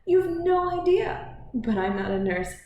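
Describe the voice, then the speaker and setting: A woman's voice: very high-pitched, sing-song, a little slow, muffled recording, voice pushed up, exaggerated voice. Woman acting a breezy, playful young woman. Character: lively, playful young woman, full of vigor. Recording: roomy echo, faint background noise.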